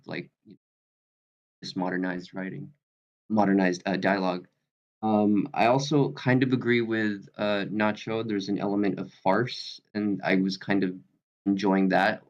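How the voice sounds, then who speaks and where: A man giving casual feedback on an online meeting call, halting as he searches for words. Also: lowish voice, gravelly, highs cut off. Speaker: table read participant giving feedback.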